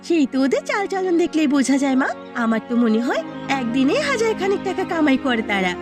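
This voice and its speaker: A woman voicing a village wife in a cartoon, animated and expressive. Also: high voice, fast, music in the background. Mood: earnest, gossiping. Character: village women, a peasant's wife and her elderly mother-in-law. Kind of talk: Bengali cartoon voice acting.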